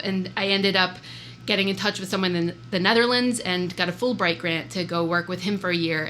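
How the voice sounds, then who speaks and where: A woman whose first language is Swiss German talks as an interview guest in a bright, somewhat low voice. She is chatty and upbeat, and she scatters fillers as she speaks. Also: a little hiss or hum. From born in Switzerland, raised in the US. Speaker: wildlife biologist guest. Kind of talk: casual podcast interview.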